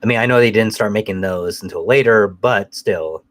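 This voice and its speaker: A man's voice: on the low side, moderate pace, a bit bright. A man hosting a casual live chat while fighting a cold, sounding nasal, rambling loosely and swearing now and then. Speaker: host.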